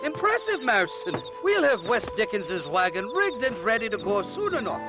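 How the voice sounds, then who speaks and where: An actor playing a sly, teasing Irish scoundrel in a Western video game. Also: male voice, sits high, huge pitch swings, normal speed, over music. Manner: teasing, sardonic. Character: mocking, sly Irish scoundrel in the Old West. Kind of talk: video game cutscene acting. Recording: noisy outdoor ambience with animals.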